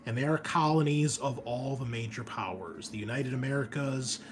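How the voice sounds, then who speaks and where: A man's voice: mid-range voice, normal speed, a little hiss or hum. A man hosting and running a tabletop game in a casual, enthusiastic, sweary, conversational way. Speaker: game master and host.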